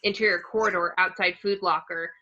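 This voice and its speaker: A woman's voice: fairly low pitch, steady tone, a little fast, pushed and bright. A woman narrating stage directions from a screenplay in short clipped phrases, on a lo-fi recording. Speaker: narrator reading action descriptions.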